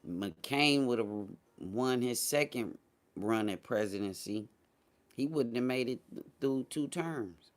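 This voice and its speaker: A man's voice: mid-range voice, lively intonation, takes it slowly. An American man hosting a casual livestream talk show, speaking in a blunt, slangy, dismissive way. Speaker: host of a morning talk show.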